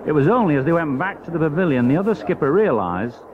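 An actor playing a wry, gossiping cricket spectator telling anecdotes in a radio play. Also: male voice, a little high, animated, quick pace, a crowd in the background, lightly acted. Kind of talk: 1980s radio drama acting. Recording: muffled, noisy outdoor radio play scene.

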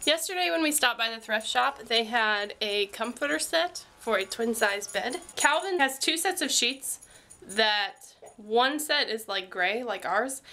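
A young woman with a bit of a cold, vlogging chattily to a handheld camera in her bedroom. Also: on the high side. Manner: chatty, animated, rambling. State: congested from a cold. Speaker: family vlogger. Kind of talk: casual vlog to camera. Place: bedroom at home. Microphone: handheld camera at arm's length.

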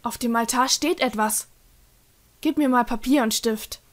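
A woman's voice: a little high, a little slow, close to an everyday voice. A woman playing an earnest, sensible, educated adventurer in an audio drama. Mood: earnest, focused. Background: music.

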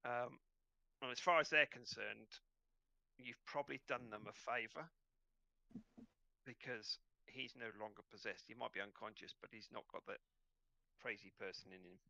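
A man narrating an online tabletop game as game master in a hesitant, halting way. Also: mid-range voice, creaky, bright, forceful tone, no echo, highs cut off.

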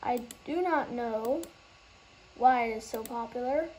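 A woman explaining a game trading method in a casual, halting way, losing her train of thought and starting over. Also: a little high, darkish tone, slight background noise, low-quality recording. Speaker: gaming tutorial host.

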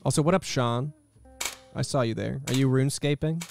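A man in his thirties streams casually at a close mic, talking to viewers in a wry, joking way. His voice sits at a middle pitch with a little gravel in it. Faint guitar music plays behind him. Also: normal speed. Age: 30s. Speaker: video game streamer. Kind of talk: casual chat with live viewers. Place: indoor room at home, streaming setup.

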